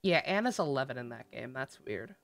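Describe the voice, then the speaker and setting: A woman's voice: rumbling low, animated, a little fast, creaky, no room sound. A woman game streamer giving casual, sweary live commentary in a sarcastic, ranting manner. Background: game music.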